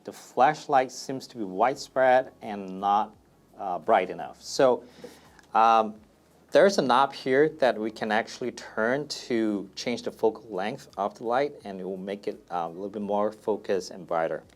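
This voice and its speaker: Male product presenter, lowish pitch, casual FAQ demo, plain step-by-step explanations. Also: measured pace.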